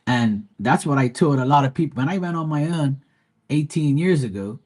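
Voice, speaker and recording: A man's voice: on the high side, animated, moderate pace, a little mellow, breathy edge. A middle-aged Bermudian man ranting bluntly and profanely in a casual live-stream monologue, full of fillers and restarts.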